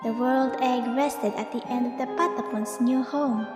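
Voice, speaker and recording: High-voiced woman narrator in deep reverb. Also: steady tone, moderate pace. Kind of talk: scripted storybook narration of a fantasy legend. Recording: echoey long reverb, music behind. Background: music.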